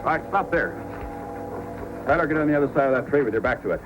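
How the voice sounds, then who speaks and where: Commanding Mountie sergeant, played by a man, swinging pitch. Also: lively intonation, fast, lightly acted, low-quality recording. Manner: firm, authoritative, declamatory. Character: brave, dutiful Mountie sergeant, commanding and upright. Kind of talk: old-time radio adventure acting. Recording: old radio recording with music.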